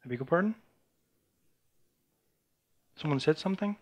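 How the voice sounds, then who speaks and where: A man from Bulgaria, giving a technical conference talk with a live demo and talking in a halting way, with many restarts and fillers. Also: a little high, animated. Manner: halting, frequent restarts and fillers while demoing. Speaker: conference speaker. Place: conference room before an audience.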